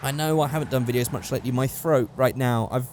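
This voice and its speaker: A man with a hoarse, worn-out voice giving casual commentary over a driving game, getting excitable at close calls. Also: medium pitch, fast talker, a little hiss or hum. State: hoarse, losing his voice. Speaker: gaming channel host.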